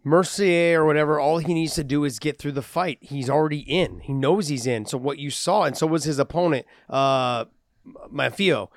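A man co-hosting a casual sports podcast, talkative and animated, explaining his views at length. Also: on the high side, moderate pace, no echo, clean studio sound. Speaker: podcast co-host.

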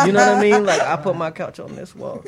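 Man talking emphatically on a panel. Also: high-pitched. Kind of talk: casual panel discussion.

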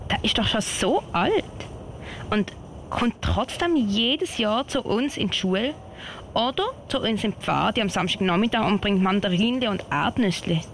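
A woman's voice: mid-range voice, expressive, a little slow. A woman playing a young, earnest girl scout in an amateur radio play, chatting with a Swiss German accent. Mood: weary, musing. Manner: chatty, thinking aloud. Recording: slightly noisy amateur recording.

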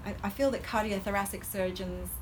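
A woman's voice: medium pitch, fairly even intonation, brisk, slight background noise. A middle-aged woman surgeon with a Hong Kong colonial English accent, talking as a podcast guest with many ums and frequent laughter. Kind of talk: podcast interview.

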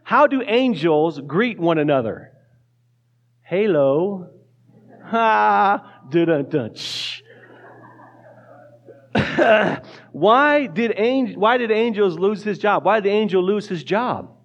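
A man's voice: high-pitched, animated, slow, pauses often, highs cut off. A man preaching a casual sermon in a church, joking lightly and often restarting his words. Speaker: pastor. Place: church sanctuary.

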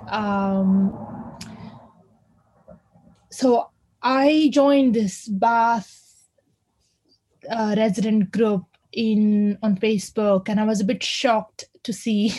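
A woman with an Indian accent talks haltingly at a community discussion, with frequent ums and restarts. Her voice is mellow and soft-edged, and her pitch sits in a middling range. She speaks as a university lecturer sharing her thoughts. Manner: hesitant, many fillers and restarts. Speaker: university lecturer, audience member. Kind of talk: unscripted remarks at a community discussion.

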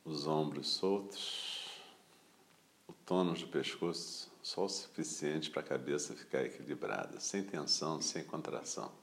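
Brazilian guy teaching guided meditation, super deep voice, calm n unhurried, little pauses between phrases. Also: creaky. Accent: Brazilian Portuguese.

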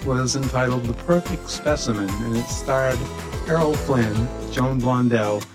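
A man giving a casual, halting spoken introduction as host of an old-time radio program, repeating himself now and then. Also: medium pitch, music in the background. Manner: informal, halting, repeats phrases.

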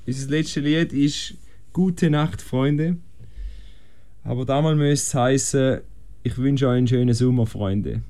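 A man's voice: mid-range voice, unhurried, warm tone. A man chatting casually as co-host of a music radio show. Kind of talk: casual studio chat, sign-off. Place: studio.

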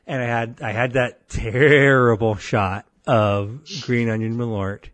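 A deep-voiced man co-hosting a podcast, telling a rambling story full of restarts and quoted voices. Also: steady tone, a little slow, fairly bright tone, dry recording. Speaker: podcast co-host. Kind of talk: casual podcast banter.

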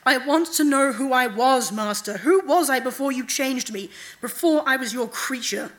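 A woman's voice: on the high side, moderate pace, fairly bright tone, lightly acted. A woman voicing a troubled undead male king, a bitter and defiant servant who speaks tersely and intensely, in a fantasy audiobook. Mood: anguished.

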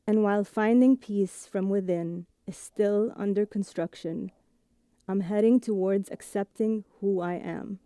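A woman in her thirties from Egypt, with an accent blending British and American English with Egyptian and Italian touches, reading a measured, reflective first-person radio essay. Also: mid-range voice, warm tone, clear, resonant tone, no echo. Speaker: audio journalist.

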